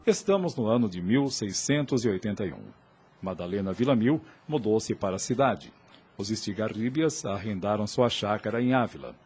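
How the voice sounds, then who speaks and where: A man's voice: lowish voice, animated, normal speed, a little mellow, slightly rough, soft background music. A man with a Brazilian accent giving scripted dramatic narration as the storyteller of an audio drama.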